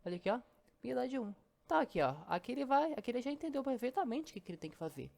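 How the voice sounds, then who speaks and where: Mulher mineira explicando código bem rápido. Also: female voice, a little high, big pitch swings, rapid-fire, creaky, a little mellow. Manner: chatty, explains step by step, keeps saying "ó" and "né". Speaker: programming teacher. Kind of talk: casual screen-recorded coding lesson.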